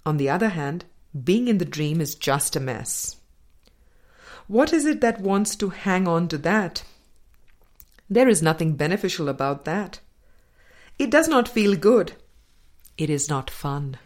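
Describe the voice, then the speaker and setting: Woman doing a spiritual audiobook read, slightly low voice, really slow and deliberate. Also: lively intonation, darkish tone. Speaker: audiobook reader. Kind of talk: scripted reading of a spiritual self-help book.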